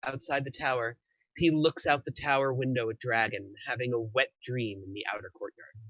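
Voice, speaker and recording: Male narrator for a screenplay table read, medium pitch, bright edge, slightly dull recording. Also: fairly even intonation, moderate pace, no echo. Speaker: narrator reading stage directions.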